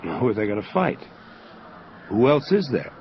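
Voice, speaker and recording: An actor playing a probing, insistent angel investigator in an audio drama, terse and questioning in delivery. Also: male voice, medium pitch, very animated, normal speed, a little hiss or hum. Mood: curious, probing. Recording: muffled lo-fi audio drama with music. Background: prominent music.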